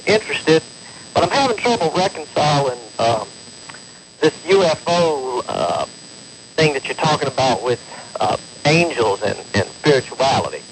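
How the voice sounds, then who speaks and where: A man phoning in to a radio show, talking in a halting, hesitant and earnest-sounding way. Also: a little high, big pitch swings, crowd noise. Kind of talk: hesitant phone-in conversation. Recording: noisy radio phone call with clicks.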